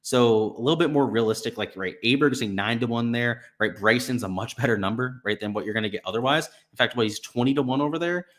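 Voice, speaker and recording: Slightly raspy young man, sick. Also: mid-range voice, quick pace, few pauses, fairly bright tone. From Florida. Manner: casual, thinking aloud with fillers like "right" and "you know". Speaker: sports betting stream host. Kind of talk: casual live-stream talk.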